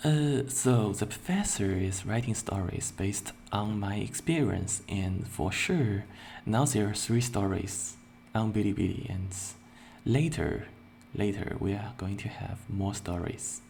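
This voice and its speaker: Slow, low-voiced male streamer. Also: vocal fry, slight background noise. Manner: slow and deliberate, with playful excited bursts. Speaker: virtual streamer. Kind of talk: casual self-introduction and Q&A.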